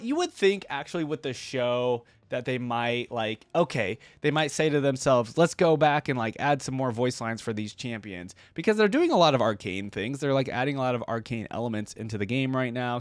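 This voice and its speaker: A man's voice: medium pitch, no echo. A man hosting a reaction video, giving casual, informal commentary as he thinks aloud.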